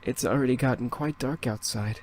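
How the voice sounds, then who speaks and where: A man voicing a calm, soft-spoken young male incubus in a visual novel game. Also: mid-range voice, a little fast, lightly acted. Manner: quiet, soft-spoken, hesitant. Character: calm gentle young male incubus with mind-reading powers.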